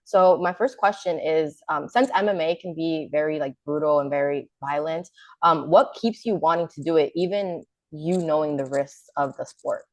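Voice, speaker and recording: A woman's voice: low voice, normal speed, a little mellow, no room sound. A woman hosting a casual interview, asking questions in a friendly, upbeat way.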